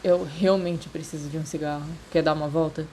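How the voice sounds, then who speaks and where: A woman's voice: deep voice, fairly even intonation, fairly quick, mellow, a little hiss or hum, close to an everyday voice. A woman with a Brazilian accent voicing a polite, bookish, guarded older schoolboy in a story, casual and slightly hesitant. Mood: guarded, uneasy.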